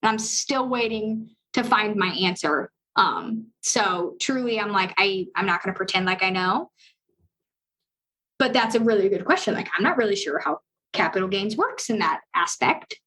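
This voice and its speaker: A young woman with a high-pitched voice talking in an upbeat, earnest and chatty way as a guest on a podcast. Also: lively intonation, normal speed, sharp tone, low-quality recording. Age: young adult. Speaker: loan officer guest. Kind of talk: casual podcast conversation.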